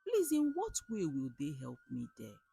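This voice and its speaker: Woman playing a plaintive, desperate Nigerian shopkeeper man, husky. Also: low-pitched, huge pitch swings, measured pace, warm tone, faint music behind, exaggerated voice. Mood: confused, anxious. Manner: plaintive, earnest. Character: desperate, naive struggling young male shop owner. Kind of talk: storybook folktale character.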